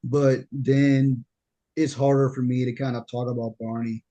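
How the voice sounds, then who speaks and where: A young man with a deep voice hosting a casual podcast chat, often stammering and repeating words as he tells stories. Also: level tone, normal speed, dark tone. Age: young adult. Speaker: podcast host.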